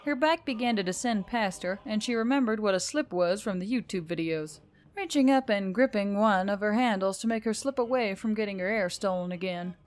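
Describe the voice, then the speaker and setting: A woman's voice: mid-range voice, fairly quick. A woman reading scripted fiction as a storyteller, in a dramatic narrating style.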